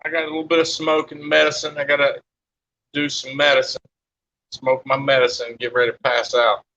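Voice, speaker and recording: A man's voice: mid-range voice, monotone, fairly quick, bright, forceful tone, slight background noise. A man in his fifties on a live stream panel, joking and teasing in casual chat, laughing often. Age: 50s. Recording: dull lo-fi stream audio.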